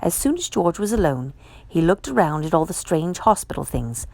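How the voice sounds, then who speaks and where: A woman reading a children's picture book aloud with measured, emphatic storyteller phrasing. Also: lowish voice, sing-song, vocal fry, a little mellow, no room sound. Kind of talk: expressive children's read-aloud narration.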